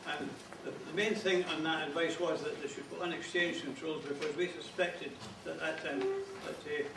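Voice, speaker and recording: A Scottish man giving a short talk as guest speaker, measured, stumbling on repeated words. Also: fairly high pitch, expressive. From Scotland. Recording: echoey hall, distant, noisy background.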